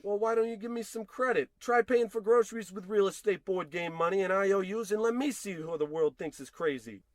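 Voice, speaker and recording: A man's voice: sits high, brisk, no echo, close to an everyday voice. A man playing a frustrated, self-pitying unemployed husband in an old-time radio comedy, wordy, sarcastic and theatrical. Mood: angry, worked up. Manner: wordy, indignant, sarcastic rambling.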